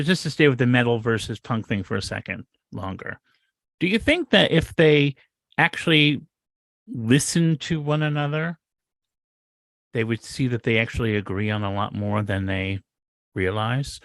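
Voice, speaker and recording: A man co-hosting a podcast chat, his mid-pitched voice quick to tease and joke. The sound is dry and close. He jumps from questions to banter. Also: no room sound. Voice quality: higher voice. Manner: jokey, teasing, animated questions. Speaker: podcast co-host.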